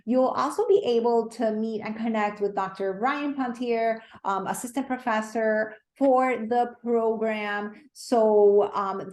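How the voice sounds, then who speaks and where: A woman hosting an online information session in a polite, semi-formal way, halting and repeating phrases as she goes. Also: mid-range voice. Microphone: video call. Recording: roomy, echoey video call.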